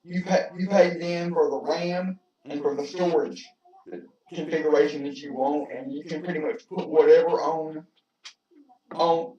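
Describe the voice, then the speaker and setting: A man explaining web hosting in casual chat on a video call, halting and repeating words as he goes. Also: on the high side, fairly even intonation, mellow. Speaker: tech-savvy participant on a video call. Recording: echoey, distant, dull call audio.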